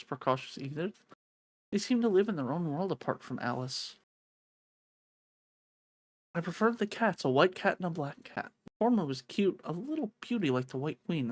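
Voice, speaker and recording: A woman's voice: sits low, dry recording. A woman streamer reading a visual novel aloud in a theatrical storytelling manner.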